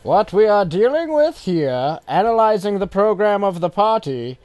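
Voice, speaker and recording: A man's voice: high voice, sing-song, moderate pace, a little mellow, no room sound, pitched above the performer's own voice. A man voicing a quoted political thinker in an audiobook, emphatic and declamatory. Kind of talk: audiobook quotation reading.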